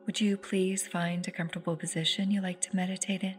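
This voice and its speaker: A woman leading a guided meditation in a soft, soothing, unhurried voice that turns breathy at phrase ends. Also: on the low side, little pitch movement. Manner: soothing, unhurried, with long pauses. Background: meditative music with singing bowls.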